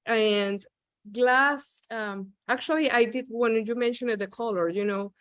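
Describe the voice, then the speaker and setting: A woman's voice: on the high side, a little slow, a bit bright, no room sound. Venezuelan woman on a video call, hesitant. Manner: hesitant, many "you know" and "um" fillers. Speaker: visiting artist. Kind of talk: casual chat on a video call.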